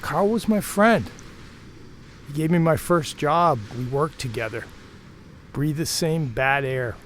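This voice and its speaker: Higher-voiced, plain-spoken mill worker. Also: male voice, slow pace, fairly bright tone, slight background noise. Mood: frank, consoling. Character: plain-spoken married mill worker, protective, frank former lover. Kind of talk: audio drama acting.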